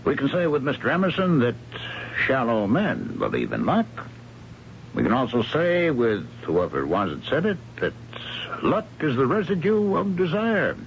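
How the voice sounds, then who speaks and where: A muffled, hissy vintage radio drama recording of a brooding middle-aged husband haunted by failure. His gravelly voice swings dramatically up and down as he speaks in grand, rhetorical phrases. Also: medium pitch, wildly swooping pitch, normal speed. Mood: grandly elated. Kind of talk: old-time radio drama acting.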